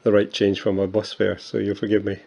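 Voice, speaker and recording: A man in his fifties with a Scottish accent talks in a fairly low voice, chatty and self-deprecating, often stumbling over his words. The recording is dull and lo-fi, like a home camera mic. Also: monotone, a little fast, gravelly, warm tone. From Scotland. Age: 50s. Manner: chatty, self-mocking, many fillers and restarts. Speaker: coin collector. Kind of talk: casual show-and-tell vlog.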